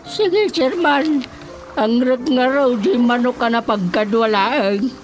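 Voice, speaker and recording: A village woman who seeks a healer's help in an Ilocano radio drama. Her voice is on the high side. She has an Ilocano accent, with faint music behind her. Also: takes it slowly. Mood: obliging.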